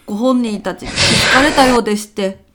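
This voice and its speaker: High-voiced, deferential female office worker played by an actress. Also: brisk, very noisy, lightly acted. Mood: polite, businesslike. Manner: polite, businesslike, deferential. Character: dutiful office employee woman briefing her boss, hay fever sneezes. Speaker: former stage troupe actress. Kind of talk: scripted comedic audio drama acting.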